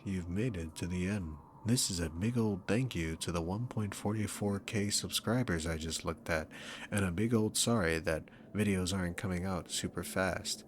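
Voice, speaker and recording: A man's voice: low-pitched, normal speed, a little hiss or hum. A man, an audio creator, speaking informally and apologetically in a casual address to his listeners. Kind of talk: casual thanks to subscribers.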